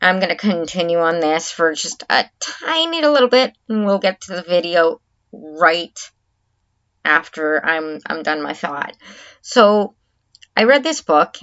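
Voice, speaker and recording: Woman, vlogger, candid talk to camera, halting, earnest, unhurried, muffled lo-fi sound. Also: lowish voice, animated, a bit bright, smooth. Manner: halting, restarts often, earnest and reflective. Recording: dull lo-fi recording.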